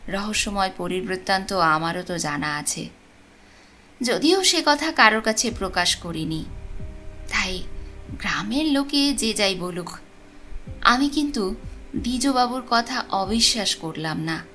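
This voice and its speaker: A woman narrating a supernatural tale as a storyteller, with expressive, deliberate phrasing. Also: mid-range voice, soft background music. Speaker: storyteller, channel host. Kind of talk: dramatic audiobook narration of a ghost story. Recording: clear background noise and ambience.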